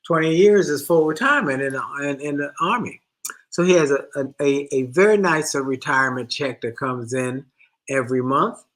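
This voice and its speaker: A middle-aged man co-hosting a marriage advice show, retelling a listener's letter in an animated way and often repeating himself. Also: a little high, moderate pace. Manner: animated storytelling, repeats phrases.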